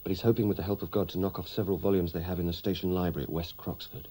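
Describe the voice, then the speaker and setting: A man's voice: sits low, steady tone, rapid-fire, mellow, husky. An actor playing a wry, weary British wartime airman in a radio play, with a dry, droll, articulate delivery. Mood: droll, conversational. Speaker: narrator and character.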